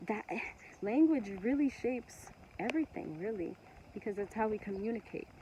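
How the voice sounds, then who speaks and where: A woman from Togo whose first language is Ewe, talking earnestly and passionately to camera with many pauses and restarts. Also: mid-range voice, expressive, dark tone, highs cut off, a little hiss or hum. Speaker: vlogger. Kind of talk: casual monologue to camera.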